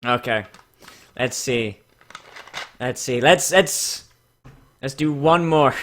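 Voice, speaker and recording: A man's voice: medium pitch, slow pace, fairly bright tone, slight background noise. A man doing a sarcastic, profane read-aloud with casual commentary, mocking and theatrical. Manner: swears freely, mocking and theatrical. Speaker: host of a dramatic reading show.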